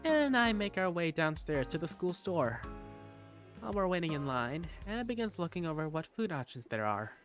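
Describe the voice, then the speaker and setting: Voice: medium pitch, very animated, brisk, close to an everyday voice. A performer playing a bookish, teasing student who narrates school life in a visual novel, with music behind. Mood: pleased, wry. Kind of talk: first-person visual novel narration and dialogue.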